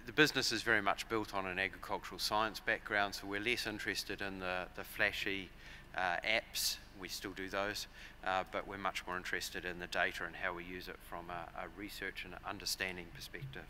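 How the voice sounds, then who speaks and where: A man in his forties with a New Zealand accent, giving a measured, explanatory conference talk at a lectern with frequent fillers and restarts. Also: low-pitched, flat delivery, pushed and bright, a little hiss or hum. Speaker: conference speaker. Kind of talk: conference presentation. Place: large conference hall, at a lectern. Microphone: lectern microphones.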